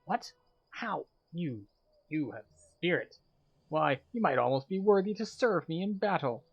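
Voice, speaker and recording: A man's voice: fairly high pitch, sing-song, unhurried, husky, dull audio, faint music behind, pitched above the performer's own voice, full character voice. A man voicing an arrogant, contemptuous warrior quest giver in a fantasy game, pompous and theatrical. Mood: impressed, commanding.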